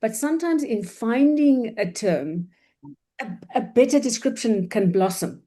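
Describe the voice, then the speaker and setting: Mellow-voiced Afrikaans-speaking woman moderator. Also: fairly high pitch, expressive, a little slow. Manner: warm, gracious, thankful, with soft fillers. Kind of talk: wrapping up a panel discussion. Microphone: video call.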